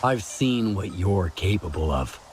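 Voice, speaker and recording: An actor playing a curt, hard-bitten mercenary in a video game, terse and unimpressed. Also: male voice, sits low, animated, measured pace, background noise. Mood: cold, dismissive. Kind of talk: video game dialogue acting.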